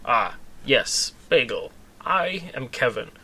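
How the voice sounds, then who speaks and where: An odd, eager alien scientist from a comic space tale, acted out by a man. He declares things with grand earnestness in a bright voice. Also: mid-range voice, very animated, slow pace, husky, exaggerated voice. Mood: earnest, eager. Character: red long-limbed alien scientist, eccentric, eager, demanding.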